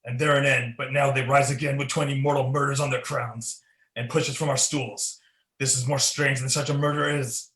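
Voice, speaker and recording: A man's voice: mid-range voice, little pitch movement, moderate pace, a bit bright, close to an everyday voice. A young man playing a haunted, guilt-stricken king in a Shakespearean radio play, delivering verse dramatically. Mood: terrified, defiant. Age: young adult. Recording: roomy, with faint noise.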